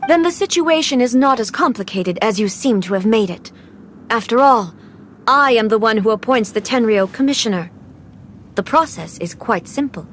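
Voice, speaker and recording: A woman voicing a cold, imperious almighty ruler in a video game, speaking in formal, measured phrases. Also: a little high, lively intonation, a little hiss or hum, close to an everyday voice. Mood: cold, commanding. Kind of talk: dramatic video game voice acting.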